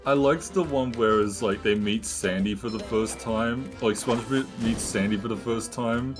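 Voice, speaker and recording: A man's voice: lowish voice, moderate pace. A man chatting on a gaming stream, giggling constantly as he talks. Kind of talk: casual gaming stream chat. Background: game music.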